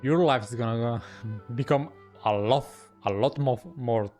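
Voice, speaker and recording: A man's voice: mid-range voice, moderate pace, darkish tone, soft background music. A man giving casual, fragmentary reactions as he streams a video game, in short remarks. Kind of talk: casual gameplay reaction commentary.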